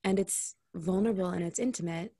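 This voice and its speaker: A woman with a gravelly, creaky edge to her voice talks candidly as an intimacy coach. She is reflective and unguarded and lets a casual swear slip in. The sound is close and dry. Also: on the low side, fairly quick, mellow, dry recording. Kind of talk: casual podcast conversation.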